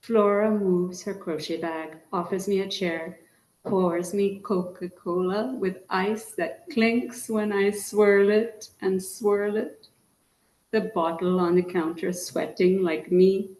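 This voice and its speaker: A woman from Trinidad reading her poems aloud in a measured way, her line endings often dropping soft. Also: mid-range voice, fairly even intonation. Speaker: featured poet. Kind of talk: poetry reading. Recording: echoey room.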